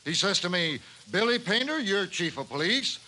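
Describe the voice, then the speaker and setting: High-voiced, folksy small-town police chief. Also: male voice, sing-song, normal speed, exaggerated voice. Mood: folksy, busy. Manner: folksy, plain-spoken, clipped sentences. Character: unhurried, simple small-town sign painter turned police chief. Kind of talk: 1950s radio drama acting. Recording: hissy, bright 1950s radio recording.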